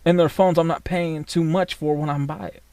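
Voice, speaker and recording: A man's voice: fairly high pitch, steady tone, normal speed, a little mellow, no echo. A man from the United States ranting in a casual vlog, blunt and profane, rambling with many fillers. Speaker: vlogger.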